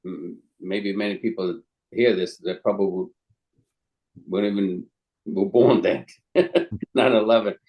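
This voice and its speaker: A man in his sixties from Calabria, Italy, speaking English with an Italian accent as an interview guest, telling his story in a hesitant, rambling way with many pauses and some non-native phrasing. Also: fairly low pitch, fairly even intonation, muffled recording. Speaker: guest, chef and caterer.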